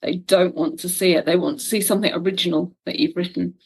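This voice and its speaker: A woman's voice: fairly low pitch, fairly even intonation, fast talker, a little mellow, slightly rough, poor audio. British woman chatting as a writer guest. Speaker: guest, audio drama and TV writer. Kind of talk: casual conversational interview.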